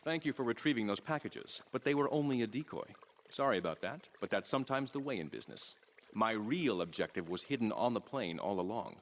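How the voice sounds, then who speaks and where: An actor playing a composed, calculating, wealthy crime patron in a video game, speaking in a pompous, businesslike way. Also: male voice, mid-range voice, animated, brisk, slight background noise, close to an everyday voice. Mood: smug, businesslike. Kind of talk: video game mission briefing.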